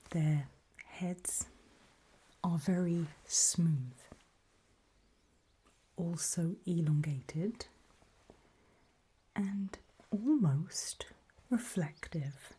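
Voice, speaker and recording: A woman whispers an ASMR roleplay with a very breathy, airy voice. Her delivery is slow and deliberate, broken into short, hushed phrases. The tone is low and soft, as she guides the listener step by step.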